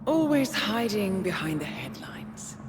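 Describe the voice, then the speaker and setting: A woman's voice: medium pitch, sing-song, unhurried. An actress playing a taunting phantom woman who haunts an assassin's mind in a video game, mocking and theatrical. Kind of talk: dramatic video game cutscene acting. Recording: long reverb, ambient noise behind.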